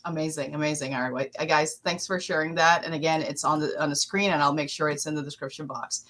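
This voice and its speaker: A woman with a slightly low voice hosting a podcast. She is chatty and upbeat as she welcomes and prompts her guest. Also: fairly even intonation, fast talker, a bit bright. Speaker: podcast host. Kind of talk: casual interview hosting.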